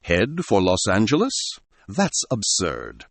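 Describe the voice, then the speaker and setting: A man's voice: on the low side, wildly swooping pitch, slow, gravelly, no echo, exaggerated voice. A man voicing an alarmed, bewildered stranded explorer in a sci-fi story, exclaiming dramatically.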